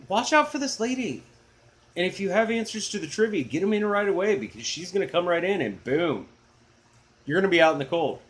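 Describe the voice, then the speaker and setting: A middle-aged man with a low, booming baritone, hosting a music channel in a rambling, enthusiastic, self-deprecating chat. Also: a bit bright. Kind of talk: casual chatty video blog.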